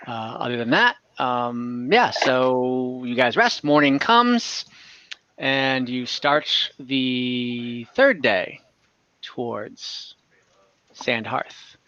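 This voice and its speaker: A man narrating an online tabletop game as game master, improvising haltingly with casual asides to the players. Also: medium pitch, animated, pushed and bright, dry recording, dull audio.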